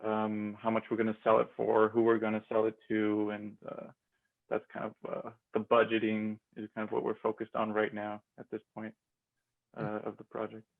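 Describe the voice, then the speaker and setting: A young man from Austria answers interview questions, stopping and restarting often with plenty of fillers. His voice is gravelly and stays fairly level in pitch. The sound is narrow and tinny, like a phone line. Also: completely flat delivery, moderate pace. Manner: hesitant, many fillers and restarts. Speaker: guest, MBA grad student. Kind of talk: casual interview.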